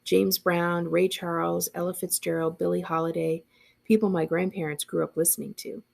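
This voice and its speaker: A woman narrates a story aloud with expression. Her voice is very creaky and husky, sitting slightly low. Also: fairly even intonation, normal speed, darkish tone. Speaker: librarian reading aloud.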